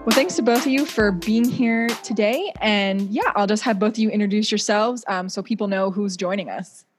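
A woman's voice: mid-range voice, moderate pace, slightly rough, faint music behind. A woman hosting a podcast interview in a conversational style over a video call. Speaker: podcast host.

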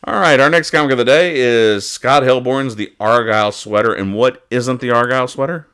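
A man hosting a casual, humorous show, giving halting, mock-earnest commentary as he goes. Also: medium pitch, fairly bright tone, clear, resonant tone. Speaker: host of a comics-reading show.

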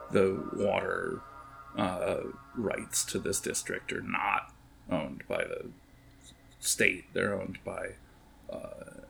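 A man's voice: sits low, gravelly, a bit bright. A man narrating a tabletop game as game master in a casual, rambling, improvised way. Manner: rambling, improvising, hesitant phrasing.

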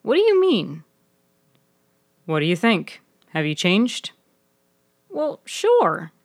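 A woman voicing a lively, teasing woman in an audio story, with a casual and animated manner. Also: mid-range voice, takes it slowly, no room sound, studio quality, voice pushed up, exaggerated voice. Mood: casual, curious.

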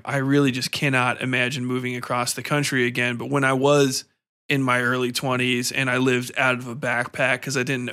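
Bright-voiced American man hosting a podcast. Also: mid-range voice. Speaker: podcast host, interviewer. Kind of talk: casual chat.

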